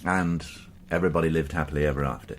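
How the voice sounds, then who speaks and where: An actor playing a dry, probing British police inspector in a radio crime drama, measured and questioning. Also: male voice, extremely low pitch, steady tone, a little hiss or hum.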